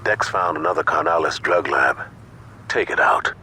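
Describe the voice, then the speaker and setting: Stern gang boss for a game, super deep, short orders, rumbly noise behind. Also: male voice, moderate pace. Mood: commanding. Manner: terse, commanding. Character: stern gang leader issuing terse orders. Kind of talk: video game cutscene acting.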